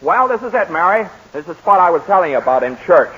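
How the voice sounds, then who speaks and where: A man's voice: high voice, very animated, fairly bright tone, breathy edge, muffled recording, exaggerated voice. An actor playing an eager, persuasive man who coaxes and bosses people along, in 1940s radio drama style. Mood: coaxing. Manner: brisk, coaxing, salesman-like. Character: fast-talking scheming man, eager and persuasive.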